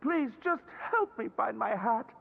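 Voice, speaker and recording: Muffled, very high-voiced timid young man. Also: sing-song, measured pace, gravelly, a little hiss or hum, heavily acted. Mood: embarrassed, earnest. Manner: hesitant, stammering, polite. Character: timid, embarrassed young man pleading for help. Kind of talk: video game voice acting. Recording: muffled, narrow-band, faint music behind.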